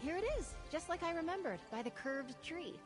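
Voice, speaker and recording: A woman's voice: high-pitched, expressive, brisk. A woman playing an eager, good-humored young knight aspirant in a video game. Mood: excited. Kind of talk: video game character dialogue. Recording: background music.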